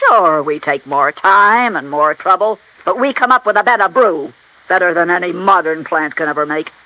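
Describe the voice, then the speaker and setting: A woman's voice: on the low side, normal speed, natural voice. A tough, proud woman of about fifty who runs an old family business, played by a radio actress. She talks bluntly and with folksy emphasis. The sound is a dull vintage radio recording. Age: 50s. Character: large, raw-boned, fiercely proud brewery owner of about fifty. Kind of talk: 1950s American radio drama acting.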